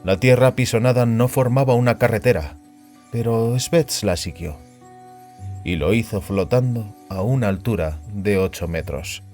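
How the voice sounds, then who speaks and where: Male narrator for scripted audio fiction, lower register, light music bed behind the read. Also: measured pace, a little mellow.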